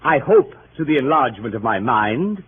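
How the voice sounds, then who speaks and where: An actor playing a dignified, earnest older gentleman with stately, oratorical delivery in 1930s radio style. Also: medium pitch, very animated, moderate pace, narrow, tinny audio, close to an everyday voice. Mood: earnest, heartfelt. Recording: thin noisy 1930s radio broadcast.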